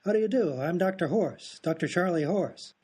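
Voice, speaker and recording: A man acts out a clueless doctor in a radio comedy. He has a high, slightly raspy voice and speaks with formal, unhurried courtesy, oblivious to everything. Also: expressive, mellow. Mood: formal, cheerful. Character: bumbling, oblivious male doctor, politely clueless.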